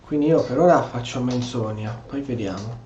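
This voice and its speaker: A man with an Italian accent giving casual, expressive role-play commentary as a gameplay streamer. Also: mid-range voice, fairly quick, background noise. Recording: echoey room, dull muffled sound.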